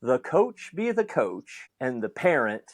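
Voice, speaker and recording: A man's voice: mid-range voice, big pitch swings, measured pace, no echo. A man hosting a podcast, talking conversationally and building long, reflective questions. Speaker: podcast host. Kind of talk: conversational interview.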